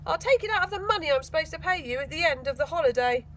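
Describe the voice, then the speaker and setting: Scolding high-voiced mum, read by a woman. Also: expressive, fast talker, fairly bright tone, a little hiss or hum. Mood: cross. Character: displeased, strict mother of a boy.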